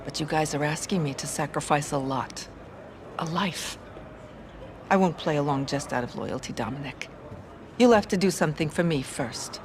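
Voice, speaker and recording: An actress playing a shrewd, hard-nosed American brothel madam in a video game cutscene, blunt and matter-of-fact. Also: female voice, sits low, normal speed, a little hiss or hum, lightly acted. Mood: guarded.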